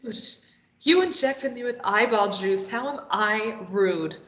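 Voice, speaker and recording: A woman's voice: fairly high pitch, a little slow. A woman voicing a sarcastic, defiant young woman held captive, reading in a table read. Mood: sardonic. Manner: sarcastic, deadpan retorts. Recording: echoey lo-fi recording.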